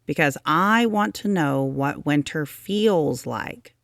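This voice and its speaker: A small, sleepy hedgehog chap, voiced by a woman reading a storybook. He explains things patiently and a little bossily, with emphatic, lively intonation in a dry, close recording. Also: low-pitched, takes it slowly, vocal fry, no room sound, heavily acted. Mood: instructing. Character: small male hedgehog, sleepy, patient, gently bossy friend.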